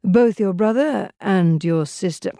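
A woman's voice: mid-range voice, measured pace, dark tone, dry recording, clean studio sound, lightly acted. A woman voicing a sober, correct middle-aged male solicitor in an audiobook, formal and restrained. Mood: cold, formal.

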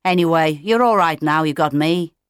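Fatherly male emperor penguin, voiced by a woman for a storybook: matter-of-fact, booming, patient, dry studio sound. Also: normal speed, clear, resonant tone, no room sound, close to an everyday voice. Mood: reassuring. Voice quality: deep.

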